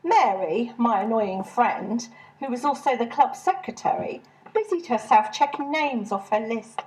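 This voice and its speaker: A woman reads a humorous first-person story. Her voice sits a little high, and she delivers it as scripted narration by the author. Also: expressive, unhurried, darkish tone, poor audio. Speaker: author reading own short story.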